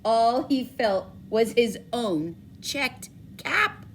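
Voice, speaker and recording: High, slow, animated woman reading a storybook. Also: a little hiss or hum. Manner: dramatic emphasis, drawn-out words, questions to young listeners. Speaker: storybook reader for schoolchildren. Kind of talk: expressive children's read-aloud.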